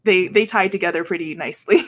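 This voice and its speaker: A woman's voice: on the low side, fast. Guest, 30s woman, easygoing chat with fillers, home podcast mic on a video call. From Hawaii. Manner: chatty, laughs often, many fillers. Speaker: guest, educator and podcast host. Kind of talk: casual video-call interview. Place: small room at home, indoors. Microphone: podcast mic with headset on video call.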